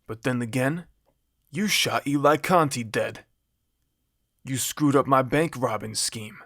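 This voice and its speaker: A man acts out an exasperated gangster from a crime story. He rants and threatens in a put-on New York Italian-American accent, grudgingly admiring his enemy. The voice is mid-pitched and recorded dry. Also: fairly bright tone, no echo. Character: menacing, exasperated male gangster boss with tattoos.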